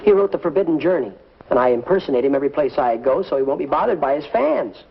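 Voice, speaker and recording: A man's voice: a little high, animated, fast talker, gravelly, husky, exaggerated voice. A young man playing a hesitant, bumbling everyman in a sitcom. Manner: hesitant, bumbling, lots of uhs. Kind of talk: 1950s sitcom acting. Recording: lo-fi vintage TV audio, noisy.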